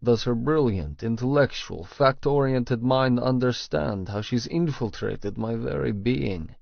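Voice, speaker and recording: A man reads first-person romance fiction in a creaky, gravelly voice. His delivery is slow and deliberate, in a dry, slightly muffled recording. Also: medium pitch, dry recording. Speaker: audiobook narrator.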